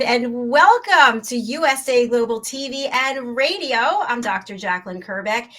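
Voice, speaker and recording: An older woman hosting a show, delivering an upbeat welcome and then chatting warmly with a guest. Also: a little high. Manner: enthusiastic, laughs often. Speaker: host and network president. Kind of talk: scripted intro then casual chat.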